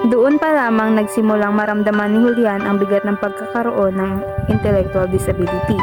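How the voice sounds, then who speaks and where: Woman narrator over loud music. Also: mid-range voice. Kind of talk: scripted storytelling narration.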